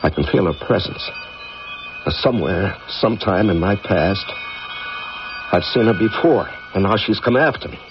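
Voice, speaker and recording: Haunted veteran astronaut, deep and gravelly, rattled yet insistent, swinging delivery, for a vintage radio play. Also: male voice, expressive, moderate pace. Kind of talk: radio drama acting. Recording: dull 1980s radio recording, music underneath.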